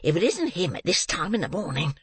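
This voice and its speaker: A man's voice: fairly high pitch, very animated, brisk, fairly bright tone, slightly rough, dry recording, voice pushed up, heavily acted. A man putting on a gushing, fawning older-sounding woman. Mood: flustered gushing. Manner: gushing, coaxing, exclamatory. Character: fawning middle-aged woman, foster-farm keeper. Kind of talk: audiobook character reading.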